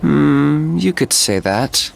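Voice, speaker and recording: A young man playing a cool, pragmatic warrior in a video game, calm, dry and understated. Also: fairly high pitch, takes it slowly, gravelly, a little hiss or hum, heavily acted. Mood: reflective, wry. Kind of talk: video game character acting.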